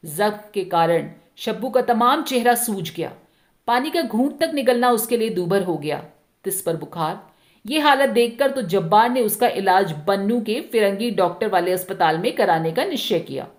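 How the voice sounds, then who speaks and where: A woman's voice: mid-range voice, expressive, normal speed. A woman narrating a short story expressively from a script, as a storyteller.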